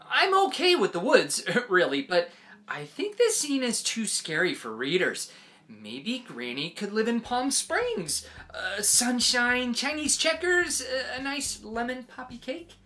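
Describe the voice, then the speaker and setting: A woman's voice: mid-range voice, big pitch swings, measured pace, bright, forceful tone, soft background music. A woman voicing a chatty, meddling sheep with a hesitant, wheedling manner in a children's read-aloud. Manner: hesitant, wheedling, full of suggestions. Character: chatty, meddling, nervously cheerful storybook sheep. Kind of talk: animated children's picture-book read-aloud.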